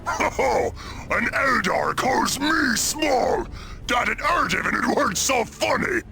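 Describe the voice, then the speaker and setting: Huge growling ork boss, British slang. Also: male voice, very high-pitched, very animated, pushed and bright, faint music behind, full character voice. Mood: roaring. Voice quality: very gravelly, growling. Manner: crude slangy bellowing, drawn-out taunts. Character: huge brutish ork pirate boss, taunting and boisterous.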